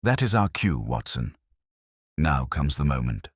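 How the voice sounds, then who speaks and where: A man performs a commanding male detective in a storybook mystery. The voice is extremely low and moves with animated emphasis. He is deliberate and coolly calculating, recorded very dry. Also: slightly rough, no room sound, heavily acted. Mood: stern, commanding.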